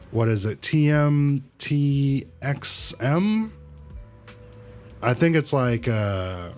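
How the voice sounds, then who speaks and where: A man hosting a livestream, chatting casually with viewers in a halting way, thinking aloud and repeating his phrases. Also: medium pitch. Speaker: livestream host. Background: music.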